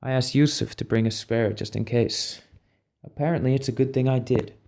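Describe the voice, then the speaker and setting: A calm, reassuring male team leader with a medium-pitched voice, explaining plans in a measured audio drama style, acted by a man. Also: gravelly, lightly acted. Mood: resigned, cautious. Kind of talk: amateur audio drama acting.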